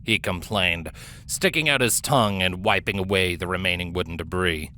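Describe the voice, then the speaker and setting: A man reading fiction aloud as a dramatic story narrator, with theatrical, deliberate emphasis. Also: low-pitched, sharp tone, a little hiss or hum. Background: background music.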